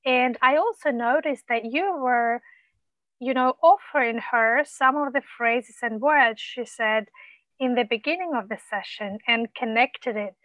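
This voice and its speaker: A woman coach mentor, whose first language is not English, giving supportive, measured feedback with frequent pauses and ums. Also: a little high, a bit bright, no echo, muffled recording.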